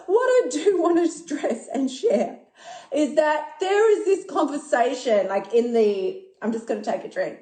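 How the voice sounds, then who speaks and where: A woman in her fifties who talks excitably, swears a lot and laughs often during a casual live stream. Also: on the high side. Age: 50s. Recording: echoey room.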